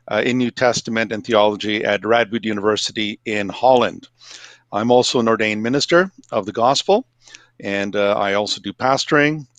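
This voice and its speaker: A man's voice: on the low side, fairly bright tone, no room sound. Dry-sounding Canadian male lecturer. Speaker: seminary teacher and minister. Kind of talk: guest introduction.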